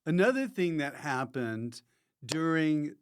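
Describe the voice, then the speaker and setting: A smooth, clear-voiced man hosts a jokey podcast at a slow pace. His pitch sits a bit high, and he rambles, stalls and loses his thread as he chats. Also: sing-song. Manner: forgetful, halting, losing his thread; jokey, prone to loud mock announcements. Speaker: podcast host. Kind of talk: rambling comic podcast chat.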